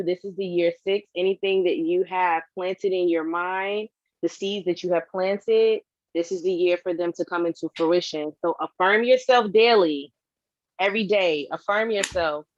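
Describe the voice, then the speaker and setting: A woman on a casual livestream, speaking as a cast member in an affirming, motivational and deliberate way. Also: on the low side, no echo. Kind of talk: casual livestream team chat. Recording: muffled, dry online call audio.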